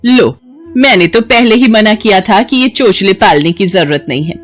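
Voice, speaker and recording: A woman voicing a sharp-tongued older mother-in-law who scolds and taunts. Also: mid-range voice, lively intonation, moderate pace, natural voice. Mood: scolding. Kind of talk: cartoon voice acting.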